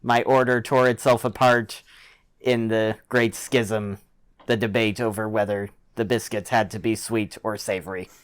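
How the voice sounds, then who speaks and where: Clear, low-voiced man playing a tabletop game. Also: level tone, a little slow. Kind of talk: playful in-character game talk.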